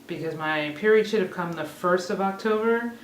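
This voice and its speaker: A woman's voice: fairly low pitch, moderate pace. Chatty woman in her thirties, echoey room. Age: 30s. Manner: chatty, rambling, laughs at herself. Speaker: vlogger. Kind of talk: casual chat to camera. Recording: echoey room, mic a bit far off, faint hiss.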